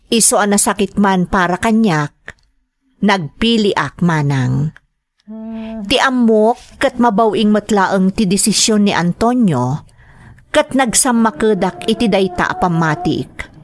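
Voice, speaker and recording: A woman's voice: mid-range voice, expressive, slow, long pauses. A woman with an Ilocano accent playing a heartbroken, pleading mother in a melodramatic audio drama, plaintive and hesitant. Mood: sorrowful. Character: heartbroken, pleading mother torn between lover and child.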